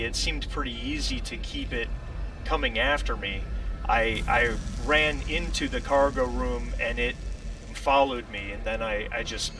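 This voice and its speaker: A man's voice: medium pitch, vocal fry. Man acting a stammering, shaken spaceship survivor. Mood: uneasy, explaining. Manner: halting, stammering, repeats himself. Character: shaken male ship survivor recounting a killing. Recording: noisy room, distant mic.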